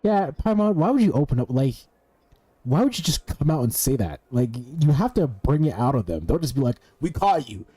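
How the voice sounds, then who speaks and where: A streamer with a husky voice doing casual, blunt, teasing gameplay commentary with plenty of swearing. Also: mid-range voice, very animated, normal speed, dark tone.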